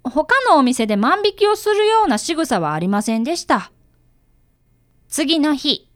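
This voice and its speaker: Radio drama boy detective, about ten, played by a woman, earnest and precise, lively pitch, dry studio sound. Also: a little slow, no echo. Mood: narrating. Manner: polite, precise, boyish. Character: earnest, bright fifth-grade boy, apprentice detective. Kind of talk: radio drama dialogue and first-person narration.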